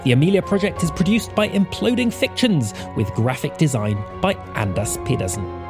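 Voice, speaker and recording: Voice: medium pitch, animated, normal speed, music in the background. An announcer reading scripted podcast credits in a presentational style.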